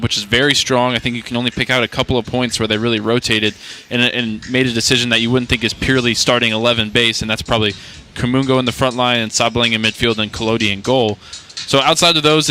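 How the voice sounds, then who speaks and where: Fast-talking, bright male soccer broadcaster. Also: medium pitch, a little hiss or hum. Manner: quick, confident, building his argument point by point. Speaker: radio play-by-play broadcaster. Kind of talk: broadcast preview analysis.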